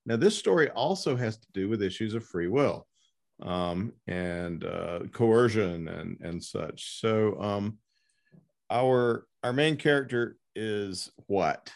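Gravelly low-voiced man hosting an interview. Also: lively intonation, slow. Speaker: interviewer and host. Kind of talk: unscripted literary interview.

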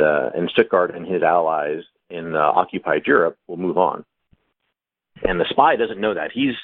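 Author on a call: low male voice, dry, tinny phone-line sound, explains patiently with fillers. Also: no room sound. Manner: explanatory, thoughtful, many fillers. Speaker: author guest. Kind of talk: casual panel discussion.

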